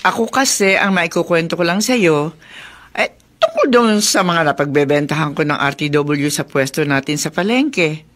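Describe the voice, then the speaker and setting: A woman acting a caring, knowing middle-aged widowed mother in a Filipino radio drama, gently teasing and motherly. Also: low-pitched, moderate pace. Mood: chatty.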